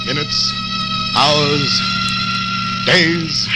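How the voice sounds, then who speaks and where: An actor playing a desperate condemned prisoner in theatrical, intense 1940s radio horror style. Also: male voice, on the high side, steady tone, extremely slow, rough recording. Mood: tormented narration. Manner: theatrical, intense dramatic delivery. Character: condemned prisoner captain, desperate, tormented, wavering between dread and resolve. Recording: old radio broadcast with music behind.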